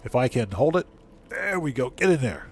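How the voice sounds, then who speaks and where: Animated man casually commentating a game. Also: medium pitch, moderate pace, slight background noise. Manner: muttering to himself while playing. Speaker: game livestreamer.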